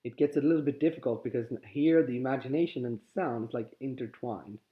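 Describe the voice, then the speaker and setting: A man with a Swedish accent, whose first language is Swedish, calmly guiding listeners through a contemplative teaching, often pausing and restarting his sentences. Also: medium pitch. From Sweden. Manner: calm, halting, with frequent restarts. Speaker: spiritual teacher. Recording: slightly dull lo-fi audio.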